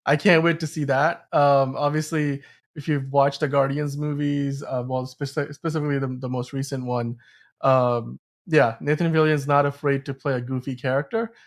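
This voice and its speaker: A man hosting a podcast, explaining film news in a casual, halting way with pauses mid-sentence. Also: mid-range voice, steady tone. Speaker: podcast host.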